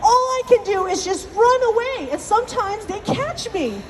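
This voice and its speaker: A woman's voice: extremely high pitch, expressive, normal speed, a little hiss or hum, voice pushed up. A woman voicing a small, meek male storybook rabbit who complains and pleads in a wheedling way. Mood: complaining.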